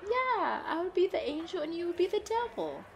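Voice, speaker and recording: A woman playing a playful, excitable girlfriend in a chatty roleplay audio, with a bubbly, expressive delivery. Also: very high-pitched, normal speed, gravelly, a little hiss or hum, full character voice. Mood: excited, teasing. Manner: bubbly, expressive, frequent giggles and exclamations. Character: playful, excitable, pleading girlfriend out costume shopping.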